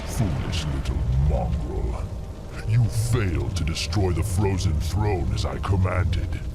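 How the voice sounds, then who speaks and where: An actor playing a huge, arrogant demon lord with a gravelly growl and pompous, threatening speech in a video game. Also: male voice, sits low, lively intonation, slow, loud music behind the voice. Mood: menacing. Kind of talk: dramatic video game villain acting.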